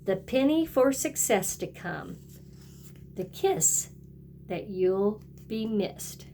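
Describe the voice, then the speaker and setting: A woman's voice: medium pitch, lively intonation, slow pace, mellow, slight background noise. A woman kindergarten teacher reading a picture book aloud and talking directly to young children in a sing-song way.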